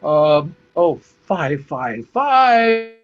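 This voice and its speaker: An amateur actor reading a shamed, despairing older general in a Shakespeare play, halting and stumbling over his words. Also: a little high, very animated, exaggerated voice. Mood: bitter, ashamed. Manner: halting, stumbling reader with exclamations. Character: despairing, shamed older male general, grey-flecked and self-reproaching. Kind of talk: amateur Shakespeare read-aloud. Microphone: video call. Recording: dull lo-fi video call, faint music.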